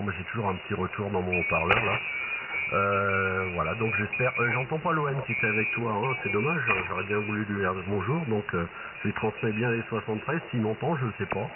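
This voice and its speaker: A man from France with a deep voice, chatting casually as he makes a test transmission on amateur radio. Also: brisk. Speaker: amateur radio operator testing his microphone. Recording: noisy, narrow shortwave radio transmission.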